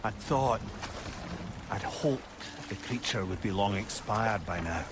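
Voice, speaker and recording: An actor voicing a clever, remorseful old advisor in a video game, with an earnest, confiding way of talking. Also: male voice, on the low side, measured pace, heavy background noise, close to an everyday voice. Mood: remorseful, gentle. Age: older.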